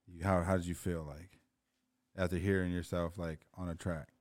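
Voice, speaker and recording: A man hosting a casual interview podcast, easygoing and encouraging, quick to laugh. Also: low voice, little pitch movement. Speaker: podcast host.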